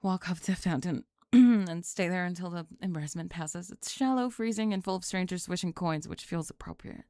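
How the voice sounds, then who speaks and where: A woman voicing a sulky young goth bully who is flustered and secretly lovestruck, halting and sighing in an ASMR roleplay. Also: lowish voice, warm tone, no echo, studio quality, voice pushed down, close to an everyday voice. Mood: embarrassed. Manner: halting, sighing, grudgingly tender and defensive. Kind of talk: ASMR roleplay drama, spoken to the listener.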